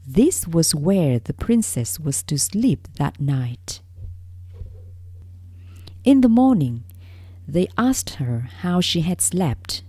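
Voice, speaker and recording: A woman narrates a storybook in a slow, measured read-along style. Her voice sits a little low and has a soft, mellow tone. She phrases each piece carefully, pausing often. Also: no echo. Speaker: storyteller reading a fairy tale aloud for English learners.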